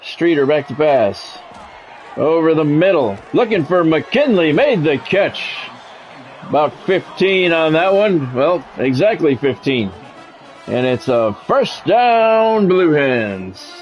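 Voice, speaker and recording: Higher-voiced man calling a football video game. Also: very animated, lo-fi sound, soft background music. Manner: measured broadcast-style calls, clipped phrases, occasional swearing. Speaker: video game streamer doing play-by-play. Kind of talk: sports play-by-play commentary with casual gamer asides.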